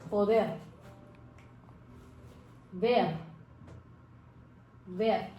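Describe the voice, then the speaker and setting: A young woman talks through a language lesson in a loose, chatty, encouraging way, full of fillers and little asides. Her voice sits at an ordinary middle pitch. The room is echoey, with faint music underneath. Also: very slow, muffled recording. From Mexico. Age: 20s. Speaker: language teacher. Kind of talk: casual spoken lesson. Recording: roomy echo with faint music.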